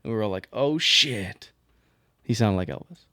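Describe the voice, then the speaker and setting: A man's voice: lowish voice, expressive, moderate pace, bright, forceful tone, no echo, studio quality. A young man co-hosting a podcast in casual banter, joking and trash-talking his friend. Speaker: podcast co-host.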